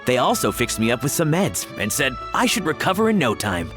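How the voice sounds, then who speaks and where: An actor playing a wry, relaxed man who is recovering from a mild poisoning in a game cutscene. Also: mid-range voice, fairly quick, lightly acted. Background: game music.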